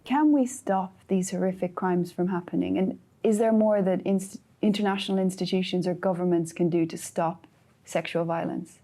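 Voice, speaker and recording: Woman interviewer, lowish warm voice, gentle measured questions. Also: fairly even intonation.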